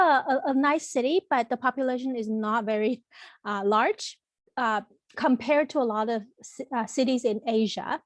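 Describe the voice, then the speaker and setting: A woman's voice: on the high side, no room sound. A woman from Taiwan giving an online information presentation to prospective students, speaking in a friendly, explanatory way with frequent ums and restarts. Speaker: college representative presenting to students. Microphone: computer mic on a video call.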